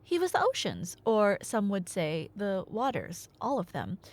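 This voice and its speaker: A woman's voice: mid-range voice, huge pitch swings, unhurried. A woman playing a nerdy girlfriend who tells myths in a casual, rambling ASMR roleplay style, with wry, hesitant asides. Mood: wry, musing. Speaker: ASMR audio roleplay performer.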